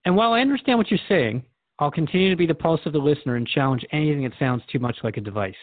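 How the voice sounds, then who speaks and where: A man's voice: a little high, animated, racing, no echo, phone-quality sound. A man playing a thoughtful, friendly radio host who asks probing questions in a conversational radio drama interview. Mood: attentive.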